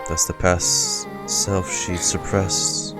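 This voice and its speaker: A man's voice: sits low, wildly swooping pitch, slow pace. A man doing casual live reaction commentary as a streamer watching a game. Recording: loud game music behind voice. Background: game music.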